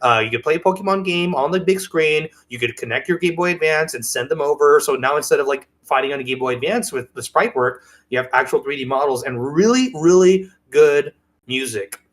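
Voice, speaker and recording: Higher-pitched man chatting enthusiastically. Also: fairly quick. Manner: enthusiastic, rambling storyteller. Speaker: podcast co-host. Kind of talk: casual chat.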